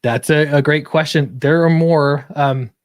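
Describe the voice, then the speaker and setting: A man's voice: on the high side, steady tone, measured pace, no room sound, studio quality. A man chatting casually as an interview guest, explaining his creative work in an earnest way. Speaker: guest comic book creator. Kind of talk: casual interview chat about own project.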